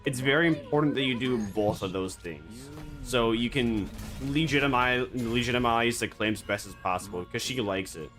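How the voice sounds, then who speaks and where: A man streaming a game, rambling and joking in absurd deadpan banter. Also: medium pitch, normal speed, faint music behind. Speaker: VTuber streamer. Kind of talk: casual gaming stream chatter.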